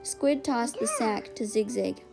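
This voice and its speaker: A woman's voice: on the high side, huge pitch swings, unhurried, vocal fry. A woman reading a storybook aloud as scripted narration. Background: music.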